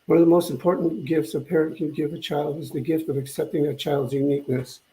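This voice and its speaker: Older man, mellow, halting reflective talk. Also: fairly high pitch, flat delivery, poor audio. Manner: halting, reflective, repeats phrases. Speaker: minister. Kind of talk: conversational spiritual talk.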